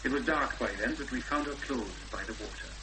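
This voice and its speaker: An actor playing a cautious, courteous rural policeman with a Welsh accent and a halting official delivery in a 1970s radio drama. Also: mid-range voice, close to an everyday voice. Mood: halting, sombre. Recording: old radio recording, loud hum and noise.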